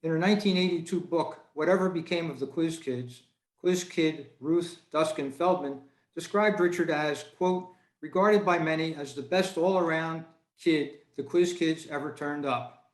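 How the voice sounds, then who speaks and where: A man's voice: a little high, moderate pace. An American man giving a prepared, formal introduction of a guest at a club meeting. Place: indoors on a video call. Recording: echoey room on video call.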